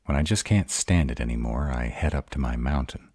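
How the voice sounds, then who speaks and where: A man's voice: a bass voice, expressive, brisk, darkish tone, slightly rough, no room sound, clean studio sound, full character voice. A man playing a grumpy, insecure were-dragon in a romantic roleplay audio drama, hesitant and defensive as he courts his mate. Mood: shy, relieved. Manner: hesitant, defensive, self-doubting.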